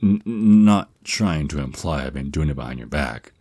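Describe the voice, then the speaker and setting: Very deep vampire naga boyfriend, acted by a man. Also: lively intonation, dark tone, dry recording, full character voice. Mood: caring, teasing. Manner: hesitant stammers, drawn-out s sounds, slightly formal wording. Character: affectionate, protective male vampire naga boyfriend, mentoring teasingly. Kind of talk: ASMR roleplay, intimate one-sided scripted dialogue.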